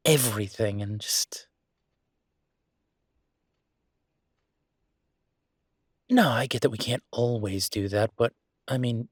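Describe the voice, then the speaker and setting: A man's voice: medium pitch, a little mellow, no echo, studio quality, close to an everyday voice. A man playing a frustrated, affectionate restaurateur boyfriend in an intimate roleplay audio drama, talking conversationally and hesitantly to his partner. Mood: frustrated, reluctant. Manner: conversational, hesitant, exasperated but fond.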